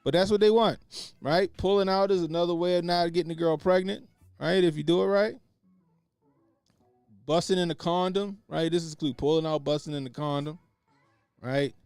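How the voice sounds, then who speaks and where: A man hosting a stream, reacting to clips in casual, crude commentary. He laughs a lot and swings between mumbled asides and loud outbursts. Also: on the high side, fairly even intonation, moderate pace, pauses often, clear, resonant tone, dry recording, soft background music. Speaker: stream host.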